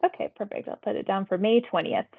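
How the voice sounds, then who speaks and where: A woman's voice: medium pitch, fast talker, breathy edge. Woman interviewer on a tinny phone line. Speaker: public health phone interviewer. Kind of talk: phone survey interview, scripted questions read conversationally.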